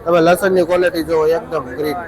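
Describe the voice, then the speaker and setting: Outdoors amid clear crowd and traffic noise, a man reports as a market vlogger. His voice sits a little high and stays very even in tone. He speaks with a Saurashtra Gujarati accent, in a plain, repetitive price-reporting manner. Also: fast talker. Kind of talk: on-the-spot vlog commentary with brief questions to vendors. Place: busy outdoor vegetable market. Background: crowd chatter and traffic.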